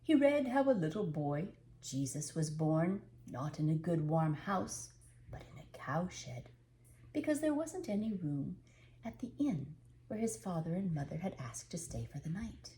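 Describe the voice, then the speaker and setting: An older woman reading a children's storybook aloud, unhurried and expressive, with dramatic pauses. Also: on the low side, slight background noise.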